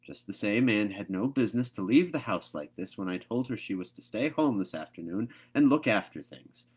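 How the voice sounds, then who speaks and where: Storybook role: male reader as middle-aged farm woman, stern and exasperated, scolding with brisk emphasis. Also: lowish voice, animated, slightly rough. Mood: indignant.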